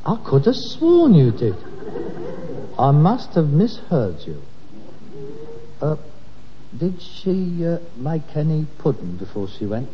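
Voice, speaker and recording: A muffled, reverberant old radio comedy recording of a middle-aged son of a junk dealer. His highish voice rises and falls as he coaxes and placates with dry wit. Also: wildly swooping pitch, slow pace, long pauses, background noise, full character voice. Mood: soothing, wry. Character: middle-aged son of rag-and-bone man, wry, placating. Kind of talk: 1960s British radio sitcom acting.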